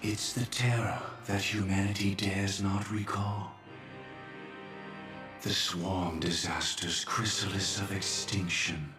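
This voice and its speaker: A man playing a solemn, courteous, fatalistic antagonist in a video game, speaking in formal, measured pronouncements. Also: sits low, fairly even intonation. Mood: ominous. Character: grave, courteous, fatalistic male antagonist passing judgment. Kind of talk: dramatic video game voice acting. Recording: echoey, distant, music underneath. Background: game music.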